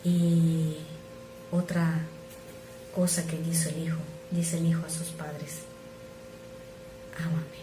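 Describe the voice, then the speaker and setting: Low-voiced non-native woman preaching. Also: level tone. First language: not Spanish. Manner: earnest, measured, drops to soft asides. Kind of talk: sermon. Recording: echoey room with noise.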